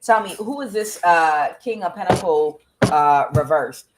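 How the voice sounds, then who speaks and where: A woman doing a tarot reading on her own. She talks bluntly and swears often, questions the cards aloud, and fills her pauses with "uh". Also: fairly low pitch, expressive, background noise. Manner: blunt and profane, with frequent "uh" fillers. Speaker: tarot reader. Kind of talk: solo tarot reading to camera.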